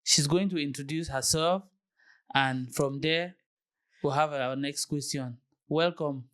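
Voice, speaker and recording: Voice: medium pitch, unhurried, a bit bright. Chatty host hyping a new channel. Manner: chatty, repeats phrases, begs viewers for support. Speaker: interview show host. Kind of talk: casual interview hosting.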